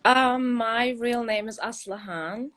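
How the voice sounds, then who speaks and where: A woman's voice: on the high side, takes it slowly, a bit bright. A woman from Turkey calling in to a live stream, chatting eagerly and laughing easily. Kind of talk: casual chat.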